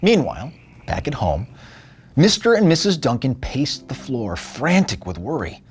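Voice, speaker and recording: A man narrating a children's storybook expressively, with theatrical phrasing and dramatic pauses. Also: mid-range voice. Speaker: storybook reader.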